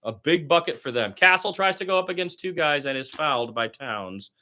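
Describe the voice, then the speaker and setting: A man's voice: a little high, animated, fairly quick, pushed and bright. A man doing amateur radio-style basketball play-by-play in short clipped phrases, with opinionated asides as a partisan fan.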